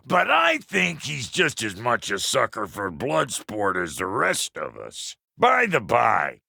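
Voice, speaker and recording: A gravelly, cantankerous dwarf blacksmith from a video game, drawling in a Southern American accent with an unhurried pace. The pitch swings wildly, and the delivery is crude, folksy and blunt, with a good heart underneath. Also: male voice, bright, forceful tone, no echo, clean studio sound, full character voice. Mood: relishing, rowdy. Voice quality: gravelly, rough-edged. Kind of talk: video game character acting.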